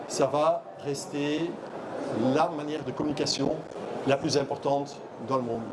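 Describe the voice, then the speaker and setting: A middle-aged man from Belgium, not a native French speaker, giving a halting trade show talk full of fillers and restarts. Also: a little high. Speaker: conference speaker. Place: trade fair hall. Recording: noisy trade fair hall.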